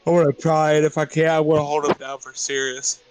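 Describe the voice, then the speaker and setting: A man's voice: on the high side, level tone, fast, a little mellow, clear, resonant tone, no room sound, highs cut off. A man chatting casually as a player in a tabletop roleplaying game. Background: faint music.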